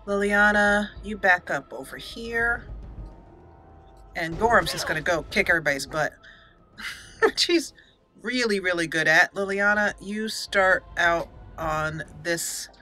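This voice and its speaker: A woman's voice: mid-range voice, a little slow, sharp tone, faint music behind. A woman hosting a gaming channel, giving casual, chatty let's play commentary as she talks aloud to the characters.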